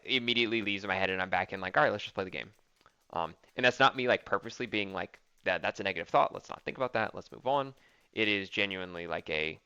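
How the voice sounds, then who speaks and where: A man's voice: on the low side, creaky, pushed and bright, clean tone, no room sound, dull audio. A young man casually commenting on replays of his own fighting game match, thinking aloud with lots of ums and likes.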